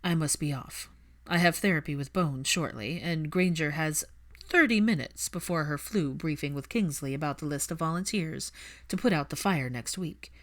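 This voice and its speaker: A woman's voice: low-pitched, normal speed, lightly acted. A woman voicing a mischievous, amused young man with a teasing, dry manner.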